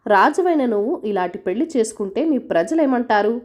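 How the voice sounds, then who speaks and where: Cautious storybook villagers, played by a woman, speaking frankly and doubtfully to a king. The voice is high and animated. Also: fairly quick, close to an everyday voice.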